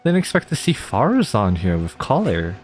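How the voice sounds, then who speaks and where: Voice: mid-range voice, wildly swooping pitch, moderate pace, slight background noise. A streamer giving casual, sweary live commentary on a game and reacting as it plays. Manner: casual, easily amused, swearing. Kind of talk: live gameplay commentary with read-aloud game text and reactions. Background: video game music.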